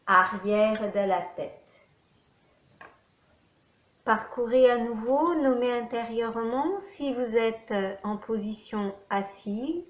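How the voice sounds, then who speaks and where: Very slow-speaking woman guiding meditation, echoey. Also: medium pitch. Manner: measured, instructional phrasing with pauses. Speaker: yoga nidra teacher. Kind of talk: guided meditation instruction. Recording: echoey room.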